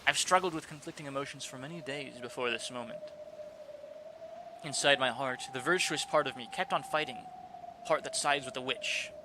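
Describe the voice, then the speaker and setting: A man's voice: medium pitch, normal speed, noisy background, lightly acted. A man reading as a brooding young servant. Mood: solemn. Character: brooding young male servant confessing inner conflict. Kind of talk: reading a visual novel aloud.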